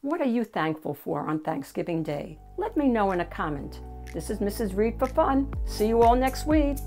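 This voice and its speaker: An older woman reads a children's picture book aloud in an animated, deliberate storyteller's manner. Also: medium pitch, faint music behind. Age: older, 60s or 70s. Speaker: children's storybook reader and host.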